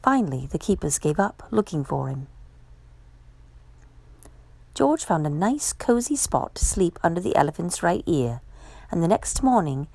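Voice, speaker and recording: A woman reading a children's picture book aloud as a storyteller, with expressive, measured delivery. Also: on the low side, gravelly, no echo. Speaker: storytime reader.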